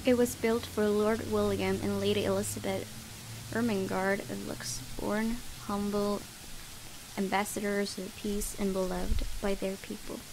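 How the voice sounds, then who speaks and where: Unhurried woman narrating a horror game. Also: mid-range voice, flat delivery, noisy background. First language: not English. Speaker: gaming video host. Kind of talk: let's play narration, reading game text aloud.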